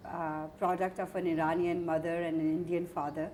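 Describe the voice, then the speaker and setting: A woman conducts a probing interview in a low, mellow voice, hesitating and restarting her questions with small fillers. The sound is roomy and echoey, with a faint background hiss from an old archival tape. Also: level tone, fairly quick. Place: indoor room in a residential building. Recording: echoey room, archival video.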